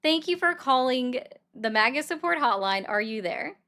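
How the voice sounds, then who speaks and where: Woman call-in host, sardonic and brisk, bright higher voice. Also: animated. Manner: brisk, sardonic, cuts callers off. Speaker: livestream host taking calls. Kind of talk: political call-in livestream.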